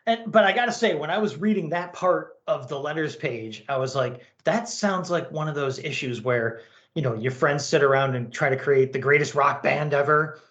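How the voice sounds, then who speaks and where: A man's voice: on the high side, normal speed, fairly bright tone. An American man hosting a podcast in a casual, chatty style. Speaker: podcast host. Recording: echoey, roomy sound.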